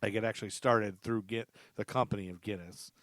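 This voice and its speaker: A man's voice: on the low side, steady tone, a little fast, a bit bright, dry recording. A man hosting a casual history podcast, rambling through a story and laughing often. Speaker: podcast host.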